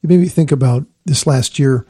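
A man, a priest, calmly sharing a reflective story in casual conversation. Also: medium pitch, fairly quick, breathy edge, no echo, studio quality.

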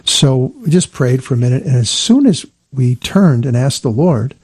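A man, a priest, calmly sharing a reflective story in casual conversation. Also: mid-range voice, moderate pace, breathy edge, no echo.

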